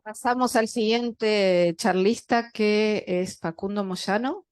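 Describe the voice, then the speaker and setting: Woman, session chair, brisk announcements, mid pitch, dry sound. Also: smooth, no room sound. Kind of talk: moderating a conference session.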